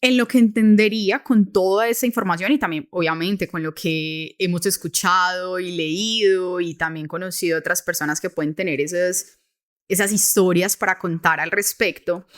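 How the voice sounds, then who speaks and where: A woman from Medellín with a Colombian accent, co-hosting a podcast and recounting a story in a relaxed, hesitant way, checking in with her listener. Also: lowish voice, moderate pace. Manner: hesitant, many restarts and fillers, checks in with "¿sí?". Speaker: podcast co-host. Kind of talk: casual conversational storytelling.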